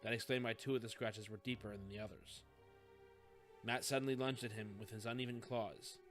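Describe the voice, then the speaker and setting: A man's voice: medium pitch, steady tone, brisk, faint music behind. A man reading scripted fiction as an audiobook narrator.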